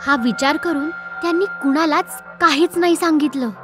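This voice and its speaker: A woman's voice: high-pitched, normal speed. A woman narrating a scripted horror story for children. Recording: loud music behind the voice. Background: loud music.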